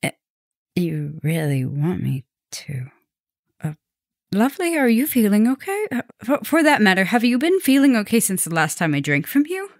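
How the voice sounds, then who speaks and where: A woman playing a gentle, remorseful vampire professor in an intimate ASMR roleplay, speaking softly and hesitantly. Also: medium pitch, sing-song, moderate pace, no echo, studio quality. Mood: concerned, tender. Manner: hesitant, stammering, tender, apologetic.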